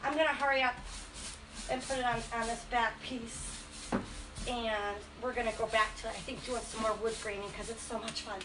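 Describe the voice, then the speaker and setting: High-voiced chatty woman with a cold. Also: steady tone, normal speed, background noise. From Western Massachusetts. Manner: chatty, enthusiastic, rambling. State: has a cold. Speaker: furniture painting host. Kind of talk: casual livestream demo.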